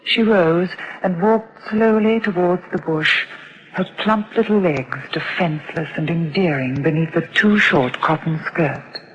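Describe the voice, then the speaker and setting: An actress as a gentle, anxious young mother. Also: on the low side, unhurried, a little hiss or hum, lightly acted. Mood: calm. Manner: soft, earnest, hesitant when worried. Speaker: narrator and worried mother. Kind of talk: 1950s-style radio drama narration. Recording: dull lo-fi old radio recording.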